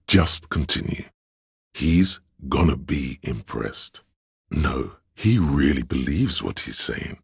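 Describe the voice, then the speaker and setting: Game role: a fawning, courtly spirit of theatrical deceit, extremely deep and very husky, grand and mannered. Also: male voice, a bit bright, no room sound, clean studio sound, full character voice. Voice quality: gravelly, husky. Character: theatrical, sycophantic inner voice of drama and deceit. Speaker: video game voice actor. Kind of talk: theatrical game character narration.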